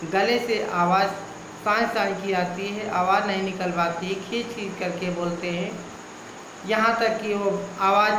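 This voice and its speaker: A man speaking as an Ayurvedic healer, explaining a home remedy and listing ailments one after another in a repetitive way. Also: sits high. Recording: echoey room with background noise.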